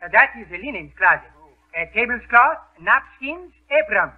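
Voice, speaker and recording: An actor playing a Greek restaurant owner with mangled broken English in 1930s radio comedy. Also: male voice, very high-pitched, big pitch swings, normal speed, full character voice. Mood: explaining proudly. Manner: mangled grammar, malapropisms. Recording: tinny old 1930s radio recording.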